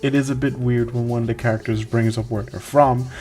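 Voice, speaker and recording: A man's voice: mid-range voice, steady tone, brisk, a little mellow. A man reading a scripted video game review in a wry, tongue-in-cheek way.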